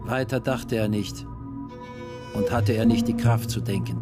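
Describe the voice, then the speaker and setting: An actor narrating a literary radio play as a sombre labour-camp survivor. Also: male voice, lowish voice, a little slow, natural voice. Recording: loud music behind narration.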